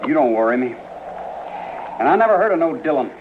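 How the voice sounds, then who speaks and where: An actor playing a wary, plain-spoken drifting cowboy with folksy frontier grammar in an old radio western. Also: male voice, a little high, sing-song, fast talker. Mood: suspicious, challenging. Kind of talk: 1950s radio western acting. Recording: muffled, noisy old radio recording.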